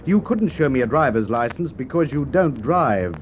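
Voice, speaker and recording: An actor playing a firm, methodical police inspector with an Australian accent, in Golden Age radio drama style. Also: male voice, mid-range voice, animated, normal speed, natural voice. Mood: shrewd, triumphant. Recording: dull, hissy old radio recording.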